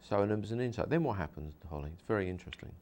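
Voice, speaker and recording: A man's voice: lowish voice, animated, rapid-fire, a little mellow. A man, a hypnosis practitioner, interviewing a guest and explaining his method earnestly, with many repeats and restarts.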